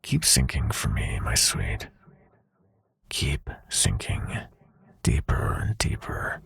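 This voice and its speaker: A man playing a seductive, menacing prince of nightmares in an intimate ASMR roleplay, speaking in a breathy, silky, commanding half-whisper. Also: very deep voice, huge pitch swings, a bit bright, no echo, heavily acted. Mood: hypnotic, coaxing. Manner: slow, silky, commanding, taunting.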